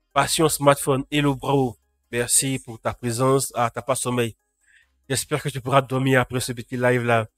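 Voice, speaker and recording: A man's voice: mid-range voice, a bit bright, no echo, studio quality. A man with a cold hosting a casual live tech news roundup, greeting chat viewers by name. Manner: talks to live chat viewers, frequent restarts. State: has a cold.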